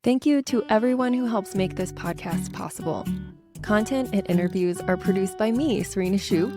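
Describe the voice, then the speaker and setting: A woman podcast host with a soft, soothing, laid-back way of talking, chatting casually with a guest and reading the show's credits and ads. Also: medium pitch, normal speed, over music. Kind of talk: casual chat.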